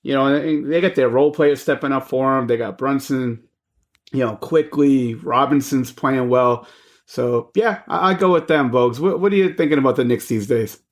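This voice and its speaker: A man's voice: mid-range voice, moderate pace. A man in his forties co-hosting a podcast, talking sport in an easygoing way, full of fillers. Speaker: podcast co-host. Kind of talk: casual sports chat.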